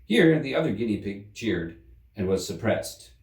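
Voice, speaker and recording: Expressive male storyteller in a roomy space. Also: lowish voice, normal speed. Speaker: storybook reader. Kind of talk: expressive scripted narration of a children's classic. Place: room in a museum. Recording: roomy, slightly distant microphone.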